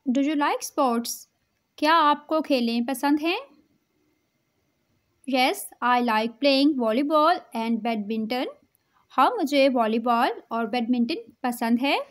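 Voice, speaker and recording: A woman leading an English practice drill, saying each English sentence slowly, then giving its translation. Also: high voice. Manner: English spoken very slowly, Urdu lines quick. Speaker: English teacher.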